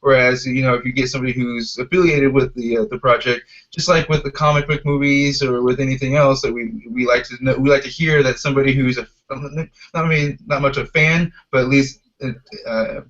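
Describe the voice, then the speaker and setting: A man's voice: medium pitch, fairly even intonation, fairly quick, few pauses, a bit bright. A man hosting a casual panel discussion, agreeable and hesitant, often repeating his phrases. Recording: dull lo-fi podcast audio.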